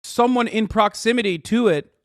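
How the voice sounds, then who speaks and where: A man's voice: sits high, moderate pace, fairly bright tone, no echo, clean studio sound. A man streaming, reacting to clips with casual, exasperated, profane commentary. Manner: exasperated, sarcastic, swears a lot.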